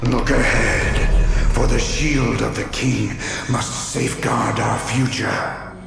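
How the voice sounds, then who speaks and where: A grave male spirit speaks in a croaking, mid-pitched voice inside a vast echo with music. The counsel comes slowly and solemnly, in game cutscene style. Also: fairly even intonation. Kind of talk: video game cutscene acting. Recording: heavy hall echo with music.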